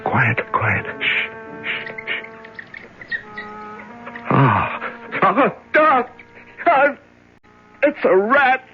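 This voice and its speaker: A dull old radio recording of a desperate prisoner alone in the dark. His gravelly voice swings wildly in pitch as he talks to himself. He repeats himself haltingly, fearful and fraught, in old-time radio drama style. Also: heavily acted. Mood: fearful, exhausted, then panicked, raging. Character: frightened prisoner in solitary darkness, desperate, talking to himself.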